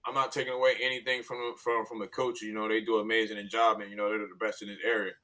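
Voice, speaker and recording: Forceful, lowish American man on sports panel. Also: flat delivery, fast talker, low-quality recording. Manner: emphatic, repeats phrases for stress. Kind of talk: casual sports opinion.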